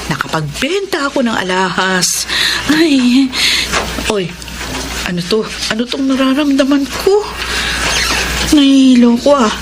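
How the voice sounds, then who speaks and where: An actress with a Filipino accent playing a quarrelsome, emotional older sister-in-law in a melodramatic radio drama. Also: female voice, sits high, expressive, measured pace, pauses often, noisy background. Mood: weary, then faint.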